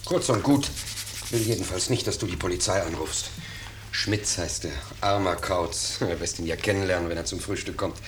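An actor playing a pompous, blustering but cowardly middle-aged husband and house owner in 1950s radio drama style. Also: male voice, low voice, moderate pace, natural voice. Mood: self-justifying. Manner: indignant, self-important, emphatic. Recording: noisy vintage 1950s radio recording.

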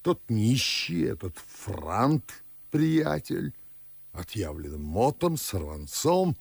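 An actor playing a fussy, grumbling older Moscow father and official in a verse radio play, with drawn-out declamatory delivery. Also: mid-range voice, very animated, creaky, husky, no echo, exaggerated voice. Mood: grumbling, troubled. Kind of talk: theatrical audio drama in verse.

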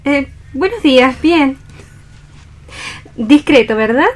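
A woman's voice: high-pitched, lively intonation, slow. An actress with an accent from Spain playing a coquettish, cheerful, gracious lady in a radio play reading, effusive and genteel. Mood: cheerful, blithe.

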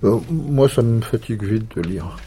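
A man's voice: lowish voice, animated, normal speed, noisy background, close to an everyday voice. An actor playing a talkative male patient chatting folksily in a waiting room in a radio drama. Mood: chatty.

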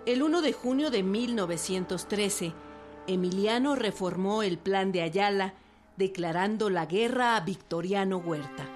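Mid-pitched Mexican female narrator with music. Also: unhurried. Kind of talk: scripted documentary narration for radio drama.

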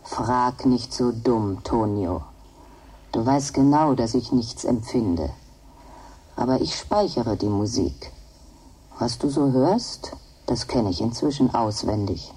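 Slow, mellow, distant female computer. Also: extremely low pitch, animated, breathy edge, exaggerated voice. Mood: matter-of-fact. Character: Edith, female talking computer, placid, obliging, devoted. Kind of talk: German radio drama acting.

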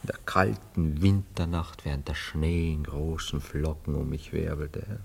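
An actor playing a nervous, theatrical bridegroom in a classic German radio play, evasive and given to florid, self-dramatizing storytelling. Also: male voice, extremely low pitch, level tone, a little slow, gravelly, darkish tone, slight background noise, heavily acted. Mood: dramatic, wistful. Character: nervous, theatrical bridegroom confessing on his wedding morning.

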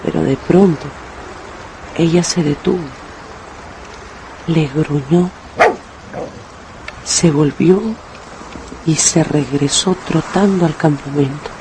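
A woman narrating a dramatized radio novel with expressive storytelling. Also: low-pitched, takes it slowly, pauses often, background noise.

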